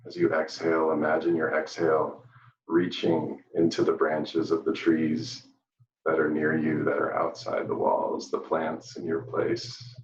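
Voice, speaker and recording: A man leading a live guided meditation, speaking gently and soothingly with long pauses, recorded at a distance in a room with a dull sound. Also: extremely low pitch, gravelly. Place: indoor room, live virtual event. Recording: dull, roomy, distant microphone.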